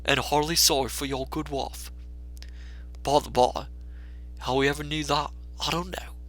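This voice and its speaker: A man's voice: fairly high pitch, fairly even intonation, normal speed, a bit bright, close to an everyday voice. A man voicing a kindly, grieving Victorian father and humble clerk, earnest and emotional, in a storybook reading. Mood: earnest, warm.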